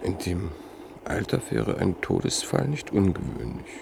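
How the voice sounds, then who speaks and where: An inquisitive train passenger in an old radio play. His deep, gravelly voice swings widely in pitch, and clear hiss sits under his questions. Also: sing-song, measured pace, a little mellow, full character voice. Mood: curious. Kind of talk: classic radio drama acting.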